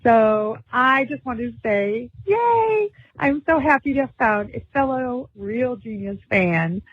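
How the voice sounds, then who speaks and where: Excited, breathy woman on a voicemail. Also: a little high, slow pace, no room sound, muffled recording. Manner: bubbly, excited fan. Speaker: voicemail caller. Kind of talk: recorded phone voicemail.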